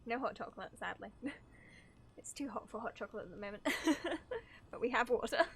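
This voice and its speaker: A woman livestreaming a game with casual, excitable chat, giggly and easily sidetracked. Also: fairly high pitch, a little fast, slight background noise. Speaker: livestreamer reacting to a video game.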